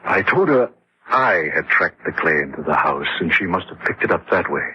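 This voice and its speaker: A man's voice: low voice, animated, normal speed, sharp tone, slightly rough, exaggerated voice. An actor playing a defensive, rationalizing husband who tells his story in dramatic radio horror style. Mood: uneasy, confiding. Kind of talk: dramatic first-person radio horror narration and dialogue. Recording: muffled old-time radio drama recording.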